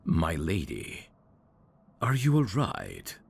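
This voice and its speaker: A man voicing a sly demon servant in an ASMR audio drama, courtly and theatrical with irreverent, sardonic asides and a very deep, gravelly voice. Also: wildly swooping pitch, takes it slowly, exaggerated voice. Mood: concerned.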